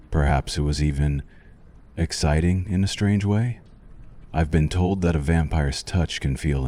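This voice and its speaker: A man's voice: very deep voice, warm tone. A man voicing a suave, possessive vampire doctor in an ASMR roleplay, hungry yet courtly, speaking in an intimate, menacingly soothing manner.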